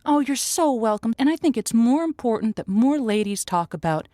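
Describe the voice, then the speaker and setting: High-voiced, emphatic woman advice host. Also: animated, normal speed, warm tone, no echo, studio quality. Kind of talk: comic call-in advice, conversational.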